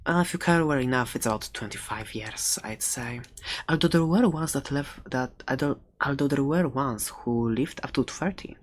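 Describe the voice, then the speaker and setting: A performer voicing a chatty, excitable dragon-egg shopkeeper and adventurer in a soft-spoken ASMR roleplay, playful and rambling with light sarcasm. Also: medium pitch, moderate pace, close to an everyday voice. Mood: helpful, chatty.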